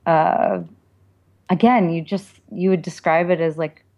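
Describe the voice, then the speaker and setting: A woman's voice: fairly low pitch, steady tone, unhurried, darkish tone, muffled recording. A woman answering interview questions conversationally, explaining things in a reflective, informal way. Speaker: author and perfumer, interview guest.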